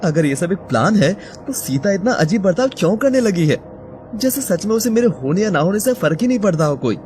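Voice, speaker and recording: An actor playing a troubled, jealous young husband in an audio story drama, earnest and confused. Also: male voice, high voice, very animated, fairly quick, a little mellow, soft background music. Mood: agitated, brooding. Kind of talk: acted Hindi audio story dialogue.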